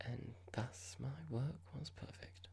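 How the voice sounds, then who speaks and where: A performer playing a horrible, condescending young corporate boss in an ASMR roleplay, speaking in a breathy whisper with a measured, pompous, formal manner. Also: medium pitch, steady tone, a little mellow, full character voice. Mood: condescending, self-satisfied. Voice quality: breathy, gravelly whisper with clicks.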